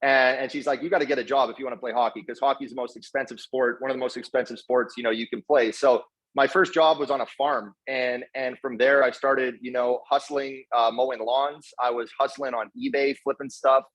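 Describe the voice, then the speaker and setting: A man's voice: medium pitch, steady tone, brisk, fairly bright tone. A man giving an informal motivational talk in an earnest, slangy way, often doubling back on his words. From Canada, partly raised in South Africa. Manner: earnest, effusively grateful, slangy bro-talk with repeated words. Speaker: guest speaker, network marketer. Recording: dull, lo-fi online call audio.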